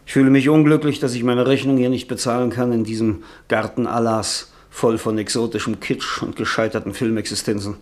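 Casting: male actor as world-weary middle-aged author, mid-range pitch, sardonic and self-pitying, for radio drama. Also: fairly even intonation, a little fast, hardly any pauses, lightly acted. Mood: weary, gloomy. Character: weary, bitter, irritable middle-aged American novelist. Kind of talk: German radio play acting.

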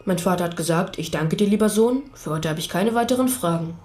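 A woman's voice: lowish voice, a little fast, a little mellow, faint music behind, close to an everyday voice. A woman playing a pastor's young son, a hesitant and literal witness giving terse answers in a radio play. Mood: reluctant, factual.

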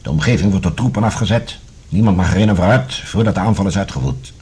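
An actor playing a grave, worried official of a troubled future in a Dutch radio drama, with a very husky voice and deliberate delivery. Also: male voice, sits low, a little mellow. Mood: grim.

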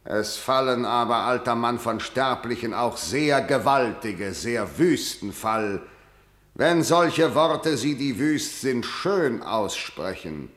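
A proud, unyielding king of radio tragedy, declaims verse with scorn. His tone is hard and forceful, with wide swings in pitch. It sounds recorded in a roomy, reverberant space. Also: lively intonation. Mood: defiant, threatening. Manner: declamatory, haughty, measured. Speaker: actor. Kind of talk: theatrical radio drama in verse.

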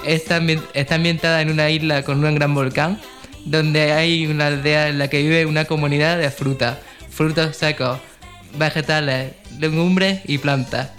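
A man's voice: fairly high pitch, fairly even intonation, normal speed, a bit bright. A man from co-presenting a radio show. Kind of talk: radio chat and scripted summaries. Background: music, guitar under the voice.

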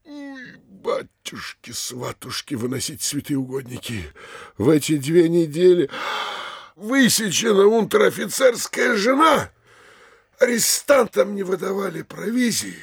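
Worried blustery middle-aged mayor, super husky n gravelly, stagey audio comedy. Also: male voice, sits high, big pitch swings, measured pace, dry recording, studio quality, heavily acted. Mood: panicked. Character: anxious, blustering middle-aged provincial town mayor, a man. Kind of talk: theatrical comic audio drama acting.

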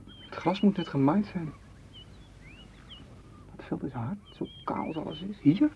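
A man's voice: on the high side, expressive, a little slow, creaky, dark tone. A man playing a frustrated, hurt lover, intense and reproachful, in a radio play. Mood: hesitant, hurt. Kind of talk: Dutch radio play acting. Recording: dull, faintly noisy old radio recording.

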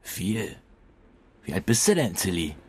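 An actor playing a big, cocky, good-natured street newspaper seller in a radio drama, blunt and bantering, with a Berlin accent. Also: male voice, deep voice, very animated, measured pace, slightly rough, full character voice. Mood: teasing.

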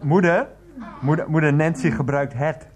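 A man's voice: fairly high pitch, moderate pace, close to an everyday voice. An actor playing a flustered, placating, henpecked husband and mother's boy on the telephone in a radio comedy drama. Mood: placating, embarrassed.

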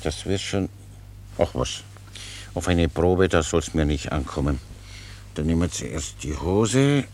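An actor playing a naive, talkative, good-natured Czech soldier in a radio play, rambling on in a folksy, guileless way. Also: male voice, low voice, animated, a little slow, pauses often, darkish tone. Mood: musing, curious. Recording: noisy radio play recording.

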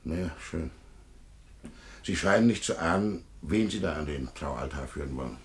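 A deep-voiced police chief inspector in a German radio drama. He is officious and dryly sardonic, phrasing his questions with condescending insinuation. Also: expressive, moderate pace. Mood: stern, condescending. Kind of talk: German radio play acting.

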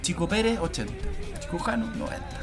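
A man's voice: high-pitched, big pitch swings, fairly quick, over music. A man co-hosting a comedy podcast, needling his partner in teasing banter.

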